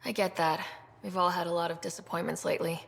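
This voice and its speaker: An actress playing a sincere, supportive young leader in a video game, inquisitive, with a creaky edge to the voice. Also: female voice, lowish voice, flat delivery, fast, fairly bright tone. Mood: sympathetic. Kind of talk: video game dialogue acting. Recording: long reverberant hall sound.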